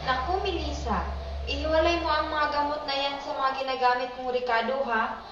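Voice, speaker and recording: A woman's voice: sits high, fairly even intonation, a little fast, noisy background, lightly acted. A woman playing a caring, fretful older household nanny in an amateur radio drama. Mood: worried, scolding. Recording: roomy, distant, dull sound under loud music.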